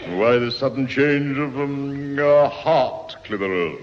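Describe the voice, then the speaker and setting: Dry, dithering schoolmaster, lively intonation. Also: male voice, mid-range voice, takes it slowly. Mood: hesitant, reluctant. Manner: hesitant, hemming and humming, sarcastic. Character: dry, hesitant English schoolmaster, easily exasperated. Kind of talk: 1960s radio sitcom acting. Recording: reverberant, muffled, noisy 1960s radio recording.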